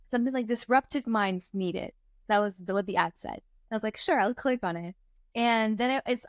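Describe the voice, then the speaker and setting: A young woman in her twenties from Venezuela, telling her story as an interview guest in a chatty, animated way with many fillers. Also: mid-range voice, no room sound, clean studio sound. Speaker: startup co-founder, guest. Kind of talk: casual interview talk.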